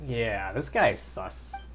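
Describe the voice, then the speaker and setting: A woman's voice: rumbling low, expressive, very slow, a little hiss or hum. A woman live streamer giving casual, wry, sardonic commentary while playing a game. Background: game music.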